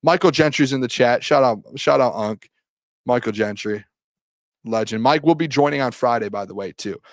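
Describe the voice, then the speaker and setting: A man hosting a live sports talk show, hoarse from talking too much, bantering and defending his side. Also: mid-range voice, normal speed, dry recording. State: losing his voice.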